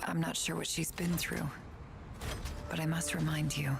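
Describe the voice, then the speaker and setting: A woman's voice: low voice, level tone, normal speed, creaky, noisy background. A woman playing a grave, clinical doctor in scripted game dialogue. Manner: clinical, serious.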